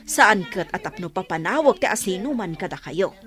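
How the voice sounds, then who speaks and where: Woman acting a lively, hospitable village woman. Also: medium pitch, moderate pace, close to an everyday voice. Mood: pleading. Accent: Ilocano, northern Philippines. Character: peaceable, hospitable village woman hosting her birthday. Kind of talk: radio comedy drama acting.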